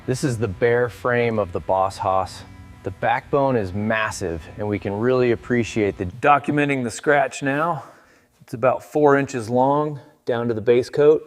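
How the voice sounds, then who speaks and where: A man's voice: medium pitch, measured pace. A man giving step-by-step workshop commentary as the narrator of a restoration. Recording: music behind the voice.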